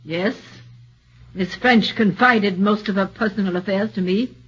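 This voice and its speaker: An actress playing a firm, disapproving long-serving housemaid as a witness in a 1940s radio drama. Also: female voice, medium pitch, a little slow, fairly bright tone, breathy edge. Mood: firm, indignant. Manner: firm, indignant. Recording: dull 1940s radio recording.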